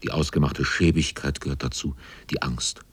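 Casting: male radio drama narrator, rock-bottom register, raspy, dry measured delivery. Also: fairly even intonation. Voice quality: very deep, husky.

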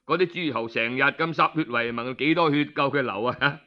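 A man's voice: medium pitch, moderate pace, highs cut off. A man with a Cantonese accent telling a traditional story in colloquial style, with explanatory asides.

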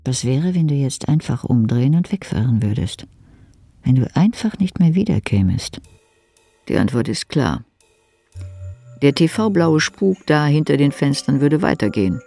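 Guy reading literary fiction, lots of fry in the voice, bit of music behind him. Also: mid-range voice, big pitch swings, a little slow, mellow, dry recording. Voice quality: creaky. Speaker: audiobook reader. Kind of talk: literary audiobook narration in second person.

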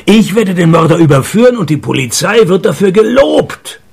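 A man playing a cynical, world-weary private detective in a radio crime comedy, delivered with deadpan sarcasm. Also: high-pitched, normal speed, vocal fry, slight background noise, exaggerated voice. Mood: sardonic. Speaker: lead character and narrator. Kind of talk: radio crime comedy, dry first-person narration and dialogue.

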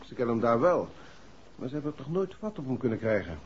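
A man's voice: medium pitch, big pitch swings, fast, muffled recording, lightly acted. Casting: actor for practical, wry policeman in a 1960s radio play, medium voice, faintly noisy old recording. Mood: businesslike. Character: matter-of-fact male police officer. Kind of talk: 1960s Dutch radio drama acting.

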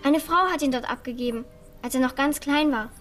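A woman's voice: sits high, fairly quick, a bit bright. An actress in a children's radio play voicing a cautious, protective orphan girl who speaks plainly and earnestly. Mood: earnest, sad. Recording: studio radio play with faint music.

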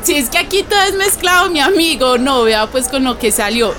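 Radio drama: friendly, streetwise Colombian paisa neighbor, talkative, highish voice, light music bed. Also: female voice, fairly even intonation, brisk, a bit bright. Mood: indignant, amused. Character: chatty, resourceful displaced neighbor woman, streetwise and friendly. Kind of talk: radio drama narration and dialogue.

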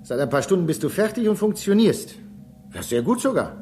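A man's voice: sits high, sing-song, fairly quick, warm tone, faint music behind. An actor playing a jaded male space station engineer in a radio play, casual, offhand and mildly condescending. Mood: patronizing explanation. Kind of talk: German radio play acting.

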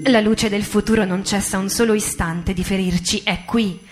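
A woman recites poetry as radio theatre, in a solemn, deliberate way with dramatic pauses. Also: mid-range voice, fairly even intonation. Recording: music under the voice. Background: music.

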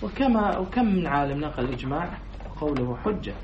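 A man teaches a class of students, reading out a text and explaining it line by line. His voice sits a little high for a man. It is picked up from across an echoey room with a dull, muffled tone and faint noise underneath. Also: sing-song, a little fast, distant mic. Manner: quizzes students, then repeats their answers.

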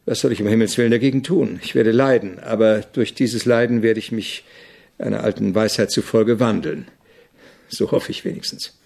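Gravelly, opinionated male composer. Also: lowish voice, a little mellow. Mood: grumbling, candid. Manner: didactic, argumentative, deliberate. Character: opinionated, irritable avant-garde male composer being interviewed. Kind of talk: German radio drama dialogue.

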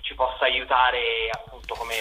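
A man's voice: mid-range voice, flat delivery, a little slow, sharp tone, soft background music, low-quality recording. A young man, a theater author and director, giving articulate, discursive interview answers in long winding sentences. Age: young adult.

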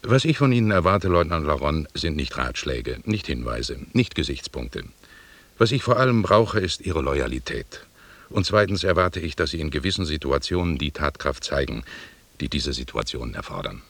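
An actor playing an imperious, condescending expedition leader in a German radio drama, lecturing deliberately in a gravelly, husky voice. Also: male voice, rumbling low, very animated, fairly bright tone, no echo, heavily acted. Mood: stern, lecturing.

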